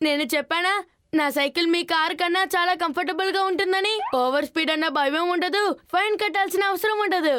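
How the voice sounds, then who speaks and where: A woman's voice: very high-pitched, quick pace, a bit bright, slight background noise. A woman voicing a cheeky, teasing little boy in a cartoon, with a taunting, sugary manner. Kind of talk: cartoon dub acting. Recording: music under the voice.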